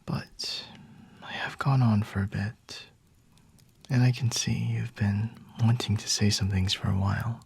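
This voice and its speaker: A man playing a flirty, aloof, high-ranking vampire in an ASMR roleplay, speaking in a breathy, hushed whisper close to the mic with haughty, seductive pauses. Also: fairly even intonation, exaggerated voice. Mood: aloof, smug. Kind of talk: ASMR roleplay, scripted monologue to the listener. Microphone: close-up microphone.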